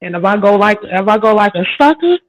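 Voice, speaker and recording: A woman's voice: mid-range voice, expressive, quick pace, dry recording, dull audio. A woman guest in a casual chat, talking in a tough, streetwise, emphatic way.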